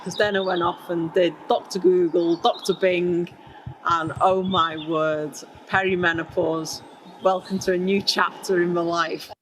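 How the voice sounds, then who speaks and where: A woman's voice: fairly low pitch, level tone, a little slow, slight background noise, rough recording. A woman in her forties frankly describing her own experience with a wry edge. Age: 40s. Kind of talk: personal testimony.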